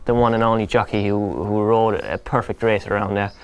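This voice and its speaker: Low-voiced young German-speaking man, faint noise. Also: steady tone, a little fast. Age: 20s. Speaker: professional flat jockey. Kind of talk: casual interview answers.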